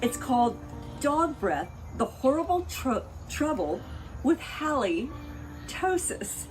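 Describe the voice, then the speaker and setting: A woman reads a children's story aloud with a highly animated, swooping delivery. Her voice sits fairly high and she takes her time, savoring phrases expressively. Music plays behind her. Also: poor audio. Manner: expressive, deliberate, with playful asides to listeners. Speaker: picture book reader for a pet rescue show.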